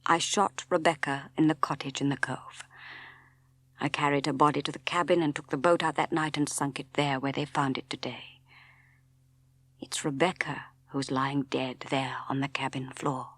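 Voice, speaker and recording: A woman voicing a tormented middle-aged Englishman in an audiobook, speaking in a hushed, grave, halting and intense way with an English accent. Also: extremely low pitch, flat delivery, voice pushed down, heavily acted. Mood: anguished confession. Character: grim, tormented middle-aged Englishman confessing to his wife. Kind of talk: dramatic audiobook character reading.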